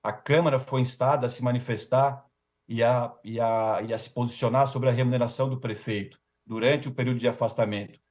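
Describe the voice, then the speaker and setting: A man's voice: mid-range voice, steady tone, fairly quick, darkish tone. A Brazilian man speaking as a defense lawyer in a formal, rhetorical speech with emphatic repetition and ceremonious forms of address.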